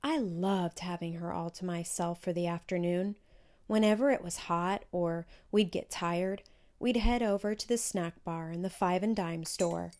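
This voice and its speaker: Slow-paced woman reading a children's story. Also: fairly low pitch, darkish tone. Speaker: children's book narrator. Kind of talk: scripted storybook read-aloud.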